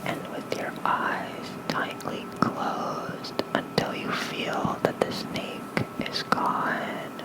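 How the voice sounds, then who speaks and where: Softly whispering, slow ASMR reader. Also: poor audio. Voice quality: soft, unvoiced, breathy whisper. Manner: gentle, unhurried, murmuring small asides. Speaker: host of an ASMR channel. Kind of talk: whispered ASMR bedtime reading of myths. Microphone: close mic with fluffy cover.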